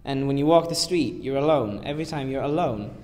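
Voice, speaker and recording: An eighteen-year-old man from Sweden with a Swedish accent, relaxed and laughing between words as an exchange student in a casual discussion. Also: mid-range voice, a little fast. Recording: reverberant room, faint background noise.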